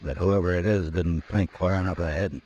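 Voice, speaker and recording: A deep-voiced steady frontier marshal. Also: male voice, breathy edge. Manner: calm, measured, deliberate. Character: steady, level-headed frontier marshal, grown man. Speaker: lawman lead and narrator. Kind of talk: 1950s radio drama acting. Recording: muffled old radio recording with faint hiss.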